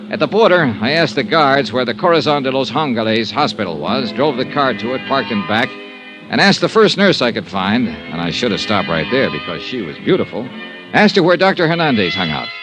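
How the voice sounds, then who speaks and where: A man's voice: medium pitch, very animated, brisk, over music, natural voice, low-quality recording. An actor playing a wry, pushy male insurance investigator who also narrates, in 1950s radio detective style. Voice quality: wisecracking, clipped. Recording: old radio broadcast with audible hiss.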